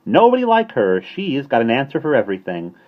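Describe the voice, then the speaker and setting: A man voicing a cheeky, conceited old male starling in a storybook, gruff and taunting. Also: mid-range voice, huge pitch swings, fairly quick, narrow, tinny audio, voice pushed up. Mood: chirpy, cocky. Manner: gruff, taunting, screamed squawking boasts.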